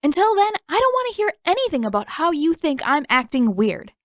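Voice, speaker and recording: A woman's voice: high-pitched, big pitch swings, brisk, dry recording, studio quality, pitched above the performer's own voice. A woman voicing a sarcastic, stubborn teenage girl in an audio story, emphatic and defensive. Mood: exasperated.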